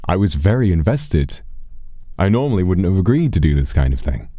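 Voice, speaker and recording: A man telling a first-person story in a theatrical, deliberate way, with hushed and drawn-out phrases. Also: deep voice, big pitch swings, no room sound, clean studio sound.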